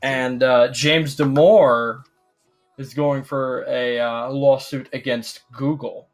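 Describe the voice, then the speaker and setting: A man giving casual livestream commentary, explaining things in a deliberate, hedging way. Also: medium pitch, steady tone, faint music behind.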